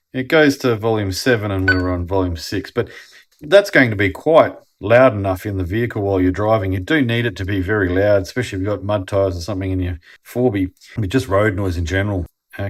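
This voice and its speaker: An Australian man giving a casual, conversational product review and explaining things as he goes. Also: on the low side. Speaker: host of a product review channel.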